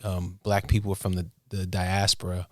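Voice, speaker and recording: Low-voiced American man, casual guest. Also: monotone, normal speed, darkish tone, dry recording, studio quality. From Portland, Oregon, United States. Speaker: interview guest, music producer and inventor. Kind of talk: casual conversational storytelling.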